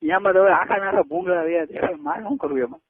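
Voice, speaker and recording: A man's voice: sits high, racing, a little hiss or hum. A man in his twenties with a Gujarati accent in a casual private phone chat, speaking in an insistent, coaxing way. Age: 20s. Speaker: caller. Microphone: telephone line. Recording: tinny narrowband phone call recording.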